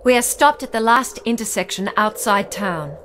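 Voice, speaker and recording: An actress playing a solemn poetic narrator in a radio play, with measured, spare phrasing and music behind her. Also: mid-range voice, lively intonation, fairly bright tone, slight background noise, lightly acted. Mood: solemn, reflective. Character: solemn female poetic narrator of desolate roads.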